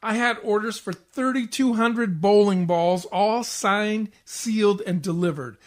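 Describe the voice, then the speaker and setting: A man's voice: high-pitched, unhurried. A man playing a grumpy, exasperated salesman husband in a 1940s-style radio comedy, grumbling in a curt, sarcastic way. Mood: weary complaining. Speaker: community theatre actor.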